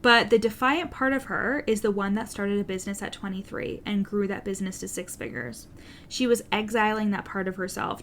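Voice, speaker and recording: A woman's voice: mid-range voice, slight background noise. A woman coach with a stutter giving a direct, earnest solo podcast monologue to her listeners, with an occasional swear word. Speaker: business coach, podcast host.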